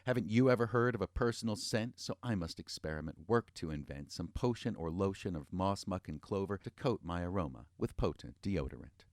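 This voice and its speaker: A man's voice: low voice, expressive, a little fast, few pauses, a little mellow, dry recording. Haughty young duchess played by a male narrator, storybook verse, exasperated rant, close dry sound. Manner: ranting in rhymed verse.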